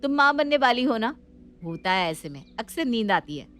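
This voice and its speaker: A woman's voice: a little high, very animated, normal speed, fairly bright tone. A woman voicing a motherly, protective young sister-in-law in a family story. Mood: caring. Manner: fussing, protective, soothing.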